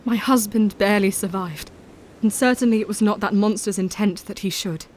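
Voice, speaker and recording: Casting: woman as determined Victorian wife, mid-pitched, formal and earnest radio-play acting, slight rumble behind. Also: normal speed, darkish tone, lightly acted. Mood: earnest, grim. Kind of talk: audio drama acting, period speech.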